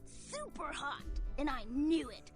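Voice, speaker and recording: An actress playing a vain, bitter former model turned vampire in a video game, snide and scornful, with a creaky voice. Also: female voice, high-pitched, sing-song, takes it slowly, exaggerated voice. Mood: boastful. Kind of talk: video game character dialogue. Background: music.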